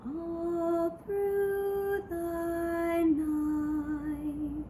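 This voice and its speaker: A woman voicing a tender storybook grandmother who soothes a baby fairy, soft and drawn-out. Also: extremely high pitch, little pitch movement, roomy sound, music in the background, voice pushed up, heavily acted. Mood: tender lullaby.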